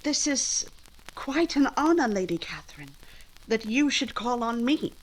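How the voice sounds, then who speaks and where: A woman acting a witty, independent young gentlewoman in a 1940s-style radio drama, poised and emphatic. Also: a little high, very animated, measured pace, rough recording. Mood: defiant, poised.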